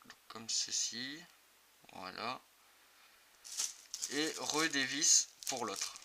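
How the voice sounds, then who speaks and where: A man's voice: medium pitch, animated, drawn-out words. A man giving a casual, improvised and somewhat hesitant hands-on product demonstration for a video. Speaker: motorcycle gear video reviewer. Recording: clearly noisy amateur video recording.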